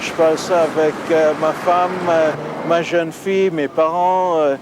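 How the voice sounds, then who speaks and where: Middle-aged man, higher pitch, quick and articulate, faint street noise. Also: steady tone. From India. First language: Oriya. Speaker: newspaper editorialist. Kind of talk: interview.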